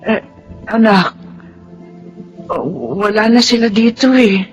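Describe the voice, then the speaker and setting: A woman's voice: medium pitch, expressive, takes it slowly, faint music behind. A woman with a Filipino accent playing a loving, emotional older mother in a radio drama, speaking tenderly. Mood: apologetic, tender. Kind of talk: Tagalog radio drama acting.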